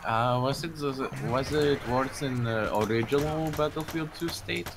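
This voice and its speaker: A man's voice: mid-range voice, normal speed, a little hiss or hum. A man with a Russian accent, a native Russian speaker, chatting hesitantly as a squad mate and swearing when things go wrong. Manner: hesitant, swears under stress. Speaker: squad teammate. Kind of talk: casual gameplay chat.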